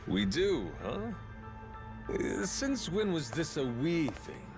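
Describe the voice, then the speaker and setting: An actor playing a cocky, irreverent pirate captain in a video game, with a slangy, mocking, street-tough manner. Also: male voice, a little high, big pitch swings, slow, full character voice. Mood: wary, sardonic. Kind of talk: dramatic video game voice acting. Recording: game music behind.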